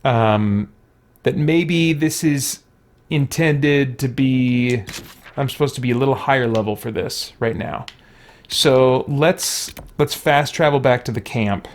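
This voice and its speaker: A man's voice: mid-range voice, slow. Rambling man with noisy background. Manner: rambling, thinking aloud, conversational. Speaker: streamer, let's play host. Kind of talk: casual gameplay commentary, reading and answering chat.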